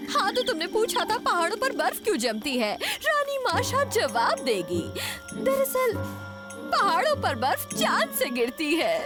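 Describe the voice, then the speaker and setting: A woman's voice: extremely high pitch, huge pitch swings, measured pace, heavily acted. A woman voicing a bossy, vain young monkey queen in a cartoon, talking with pompous, imperious airs. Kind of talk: Hindi cartoon dub acting. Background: loud music.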